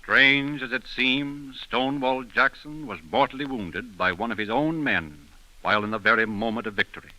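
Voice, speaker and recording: A man's voice: mid-range voice, normal speed, noisy background. A man narrating in a dramatic, declamatory 1930s radio style. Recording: old 1930s radio recording, music behind.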